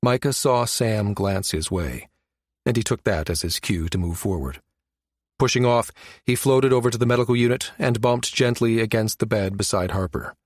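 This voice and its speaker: A man's voice: on the low side, normal speed, no echo, studio quality. A man reading scripted science fiction narration for an audiobook.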